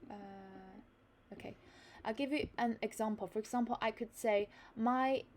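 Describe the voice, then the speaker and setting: A woman's voice: on the high side, unhurried, darkish tone. A young Chinese woman tutoring English in a learned British accent, reading an article aloud and then explaining it with fillers and restarts.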